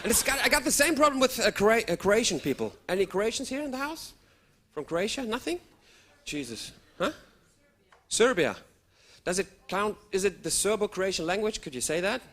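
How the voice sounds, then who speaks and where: A man, performing stand-up comedy for a live audience with lively outbursts. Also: high voice, normal speed. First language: German. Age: 30s. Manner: animated, with sudden loud outbursts and put-on voices. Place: stage before a live audience.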